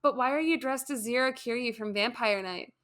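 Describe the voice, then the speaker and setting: A woman's voice: fairly high pitch, fairly quick, fairly bright tone, natural voice. A woman voicing a melodramatic, gushing, easily indignant emo teenage girl in a comedic story. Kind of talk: comedic first-person storytelling.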